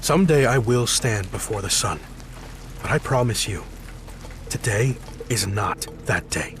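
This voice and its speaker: An actor playing a stubborn, defiant soldier captain in a video game, speaking in curt, formal phrases. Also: male voice, lowish voice, animated, unhurried, background noise. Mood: irritated, determined. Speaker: game protagonist.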